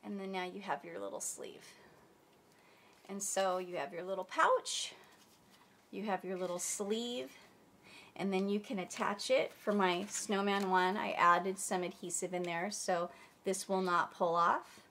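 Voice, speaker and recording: A woman's voice: mid-range voice, steady tone, measured pace, a bit bright, a little hiss or hum. A woman hosting a craft tutorial, chatty and a bit stuffy from a cold, explaining step by step at her table. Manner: chatty, friendly, searches for words. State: stuffy, under the weather. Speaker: crafting channel host. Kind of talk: casual tutorial demonstration.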